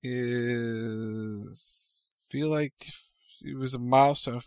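Male co-host, casual banter, dry humor, mid-pitched voice, close dry sound. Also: fairly even intonation, very slow, no room sound. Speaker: radio show co-host.

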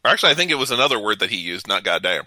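A man co-hosting a casual radio talk show, reading out trivia with halting introductions. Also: on the low side, big pitch swings, sharp tone, no room sound, studio quality.

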